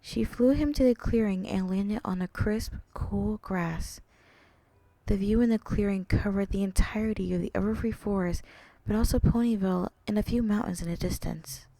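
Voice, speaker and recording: A woman with a soft voice reading a story aloud as its narrator. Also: mid-range voice, normal speed, vocal fry, dry recording, rough recording. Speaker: narrator and author.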